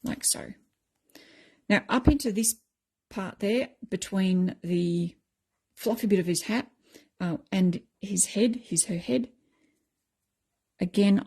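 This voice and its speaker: Croaky woman demonstrating a craft. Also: medium pitch, a little mellow. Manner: chatty, self-deprecating, explaining step by step. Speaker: craft tutorial host.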